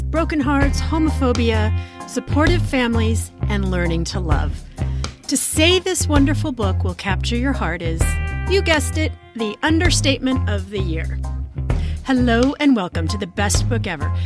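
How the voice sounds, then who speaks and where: A woman hosting a book podcast, talking in an expressive, emphatic way with drawn-out words. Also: a little high. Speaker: podcast host and interviewer. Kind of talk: podcast intro and book chat.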